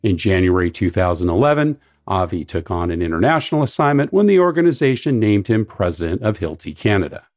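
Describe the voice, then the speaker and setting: A man's voice: lowish voice, sing-song, fairly quick, few pauses, no room sound. A man hosting a business podcast, reading guest introductions and asking questions with an enthusiastic, chatty manner. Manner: warm enthusiastic interviewer with fillers. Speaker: podcast host. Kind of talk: scripted podcast intro then interview. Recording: dull lo-fi podcast audio.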